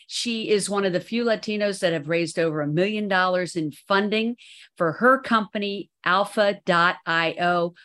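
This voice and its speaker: A woman's voice: medium pitch, moderate pace, a bit bright. A woman hosting an interview podcast, chatty and upbeat, telling anecdotes as she goes. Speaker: podcast host. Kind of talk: casual interview chat.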